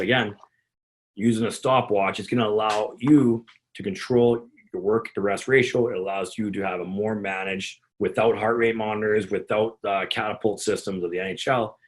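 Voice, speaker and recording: A man with a gravelly, slightly low voice explains conditioning to fellow coaches. He rambles, doubling up words and restarting sentences as he goes. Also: moderate pace. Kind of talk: instructional talk to coaches.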